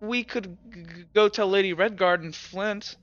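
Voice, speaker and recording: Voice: mid-range voice, fairly even intonation, a bit bright, highs cut off, lightly acted. A player voicing a hesitant, loyal teenage street orphan who stammers and halts in a tabletop game. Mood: hesitant, worried. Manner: stammering, halting.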